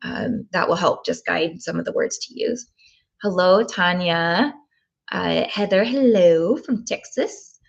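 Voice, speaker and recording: A woman's voice: on the low side, slow, creaky, dull audio. A woman from Oklahoma hosting a live tapping session, reading chat comments with frequent ums. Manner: warm, thinking aloud with frequent ums.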